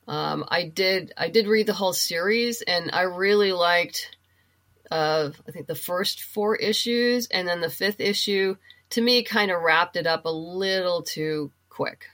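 Low-pitched woman chatting casually. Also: a bit bright. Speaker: guest, comic book writer and novelist. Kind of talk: casual interview chat, giving reading recommendations.

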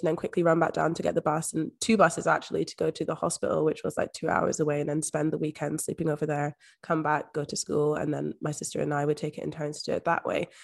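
A young woman from Scotland with a soft Scottish accent, a podcast guest speaking openly and reflectively in a casual interview. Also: sits low, vocal fry. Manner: open, reflective, uses "like" often. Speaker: podcast guest, mindset coach.

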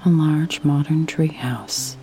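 A woman guides a sleep meditation in a soft, breathy, mellow voice. She speaks very slowly and soothingly, with long pauses. Faint music plays underneath. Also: deep voice, low-quality recording. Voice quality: soft, breathy, near whisper at times.

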